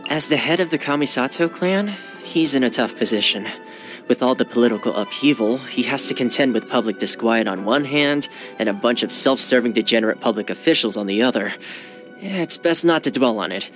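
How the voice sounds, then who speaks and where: A young man playing a friendly, earnest housekeeper retainer in a video game, eager, courteous and expressive. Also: mid-range voice, brisk, natural voice. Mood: sincere, conversational. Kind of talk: video game character voice lines. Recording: studio voice over background music. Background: game menu music.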